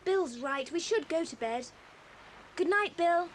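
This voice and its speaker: Sensible British schoolgirl, acted by a woman, high pitch, expressive delivery, slightly bossy, story drama, light tape hiss. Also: measured pace. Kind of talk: 1980s children's adventure audio drama acting. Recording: slightly hissy 1980s cassette recording.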